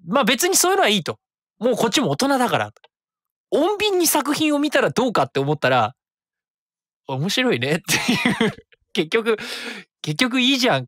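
A man's voice: a little high, no echo, studio quality. A man in his thirties hosting a solo web radio show, rambling casually and correcting himself as he goes. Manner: rambling, self-correcting, quick and animated.

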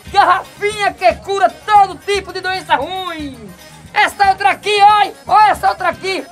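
A cheeky old street hawker of quack cures, with a Northeastern Brazilian accent. His voice is pitched extremely high, and he belts out exclamations in radio soap style, with music behind him. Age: older. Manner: loud street-vendor patter, exclamatory. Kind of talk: radio soap opera acting.

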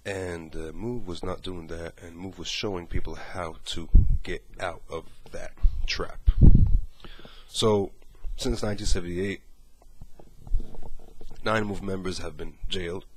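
A man in his thirties speaks in a low voice, earnest and halting and full of "you know"s. He sits at a webcam in a small bedroom and holds a phone to his face. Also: steady tone, fairly bright tone, a little hiss or hum, poor audio. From Morocco. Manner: earnest, hesitant, many fillers. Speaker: activist caller. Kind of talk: earnest monologue and phone call.

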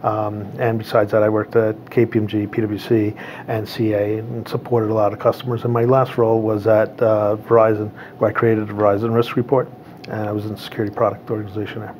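A man's voice: lowish voice, little pitch movement, fairly quick, a little mellow, a little hiss or hum. A man speaking matter-of-factly as a panelist. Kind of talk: panel discussion. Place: hotel room studio set.

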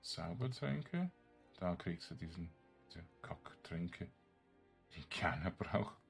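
Deep voiced guy doing a let's play, real slow, mumbly thinking out loud, halting. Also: expressive, gravelly, faint music behind. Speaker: gaming streamer. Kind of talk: casual let's play commentary, thinking aloud.

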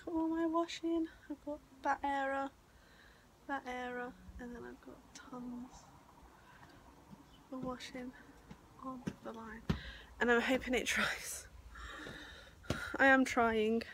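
A woman's voice: high voice, steady tone, slow, pauses often, a bit bright. A young woman with a sore throat and congested nose, chatting casually to a phone camera at home, rambling with plenty of ums. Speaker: vlogger, mother. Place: small house, sofa, kitchen and garden. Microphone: phone camera at arm's length. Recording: noisy home phone recording.

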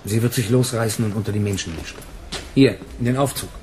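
A man's voice: fairly low pitch, quick pace, warm tone, slight background noise, close to an everyday voice. A man playing a cynical, cold-blooded bounty hunter in a German radio drama, speaking in a dry, blunt, controlled way. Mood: tense.

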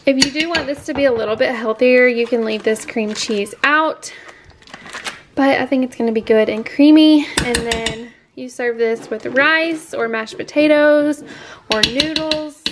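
A woman's voice: high voice, a little slow. A young adult woman, casually narrating her home cooking in a chatty, informal way. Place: home kitchen, indoors. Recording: clattering kitchen noise.

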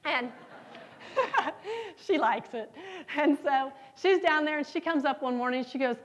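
A woman's voice: high voice, unhurried, highs cut off. A middle-aged woman giving a conference keynote on stage, telling stories and asking the audience questions. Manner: lively storytelling, asks the audience questions. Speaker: keynote speaker. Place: large conference hall, on stage.

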